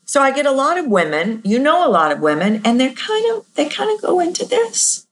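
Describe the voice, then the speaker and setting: A woman voice coach answering listener questions on her podcast, candid and self-deprecating, jumping her voice up and down to demonstrate pitches. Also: wildly swooping pitch, fairly quick.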